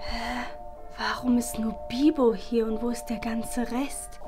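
A woman's voice: fairly high pitch, steady tone, unhurried, close to an everyday voice. A woman playing Tessa, a cocky, rude schoolgirl who talks in street slang. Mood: annoyed, cocky. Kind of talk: comedy web series acting. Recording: music behind.

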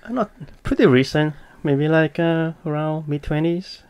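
A man, answering interview questions in a thoughtful, halting, earnest way. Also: a little high, a little mellow, clear, resonant tone. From Malaysia. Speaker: podcast guest. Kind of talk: casual interview.

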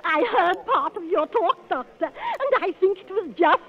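An actress playing a gushing, coquettish small-town widow in a radio comedy. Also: female voice, very high voice, lively intonation, measured pace. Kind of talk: 1930s radio comedy acting. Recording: tinny, noisy old radio recording.

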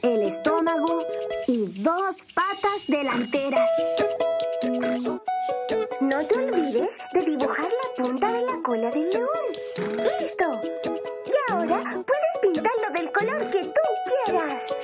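A woman's voice: very high-pitched, big pitch swings, slow, pauses often, full character voice. A cheerful little boy rabbit. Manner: patient, step-by-step instructing. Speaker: narrator teaching drawing. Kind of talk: cartoon dub, children's drawing lesson. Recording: studio voice over loud music.

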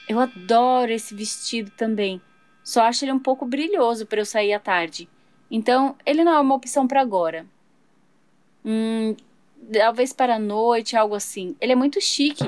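A woman's voice: high-pitched, darkish tone, no echo. A woman narrating a doll's day in a sweet, chatty way, talking to viewers as she plays. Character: chatty young woman doll, fashion-loving. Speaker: toy channel narrator voicing a doll. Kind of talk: doll play narration.

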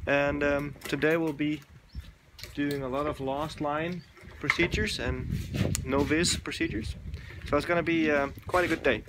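A man in his forties films himself outdoors on a phone held at arm's length. He talks in a relaxed, halting way, with many fillers, and stops to search for words. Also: fairly high pitch, heavy background noise. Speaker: dive instructor vlogger. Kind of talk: casual selfie vlog. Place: outdoors, covered shelter and lawn. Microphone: phone camera at arm's length.

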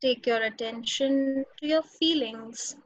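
A woman with an Indian accent leads a guided meditation as a teacher, giving slow instructions with long pauses between them. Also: sits high, dry recording. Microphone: video call. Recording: dull, muffled webinar audio.

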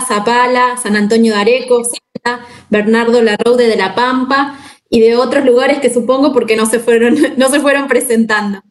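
Woman, thirties, Argentine accent, fairly high pitch, informal online host, video call. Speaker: workshop host. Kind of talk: informal hosting of an online workshop. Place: indoor room with plain wall. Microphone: computer mic on a video call.

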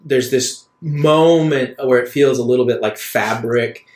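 A man running a tabletop role-playing game as game master, improvising narration in a halting, deliberate way with dramatic pauses. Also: medium pitch, darkish tone. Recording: roomy echo with some background noise.

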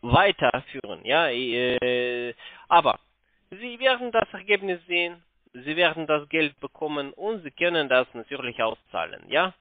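A man working as a phone sales agent talks in halting German with a foreign accent and broken grammar. His voice sits fairly high and moves around in lively pitch. He hesitates and repeats himself, over a dry, narrow telephone line. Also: no echo. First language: not German. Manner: hesitant, repetitive, often seeking confirmation. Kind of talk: improvised sales talk on a phone call.

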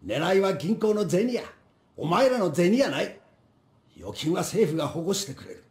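Fast, stern Kansai robber. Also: male voice, high-pitched, lively intonation. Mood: stern, commanding. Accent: Kansai Japanese. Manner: blunt, commanding. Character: stern, gruff male Japanese bank robber. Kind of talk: video game voice acting. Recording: echoing room sound.

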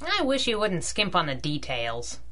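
Bright, plucky radio sidekick. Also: female voice, on the low side, huge pitch swings. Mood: doubtful, probing. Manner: sassy, quick with comebacks. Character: plucky, cheeky young female crime-fighting sidekick. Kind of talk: 1930s radio adventure drama acting.

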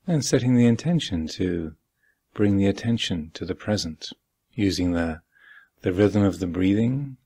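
A man with a husky voice guiding a meditation as a teacher, speaking calmly and deliberately with long pauses. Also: sits low. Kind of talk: guided meditation instruction. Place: meditation hall at a retreat.

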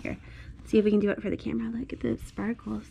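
A woman's voice: a little high, little pitch movement, fast, gravelly, dark tone, a little hiss or hum. A woman reading a picture book aloud to a small child at home, in an encouraging, sing-song way with simple repeated phrases. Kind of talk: child-directed storybook read-aloud. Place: indoors at home, on a blanket.

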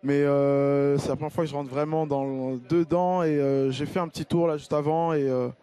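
A man's voice: on the high side, steady tone, moderate pace, dark tone, clean tone. A man in his thirties, a musician whose first language is Lingala, chatting hesitantly in an interview into a handheld mic in an outdoor square. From Congo-Kinshasa. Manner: hesitant, many fillers and restarts. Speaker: musician guest. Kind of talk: casual interview chat. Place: outdoor square stage with colored drapes. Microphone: handheld mic held by host. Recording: guitar playing behind. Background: acoustic guitar.

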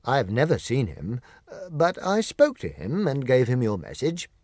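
A man's voice: mid-range voice, wildly swooping pitch, moderate pace, a little mellow, dry recording, clean studio sound. A man voicing a polite, dutiful palace soldier in a storybook, courteous, formal and emphatic.

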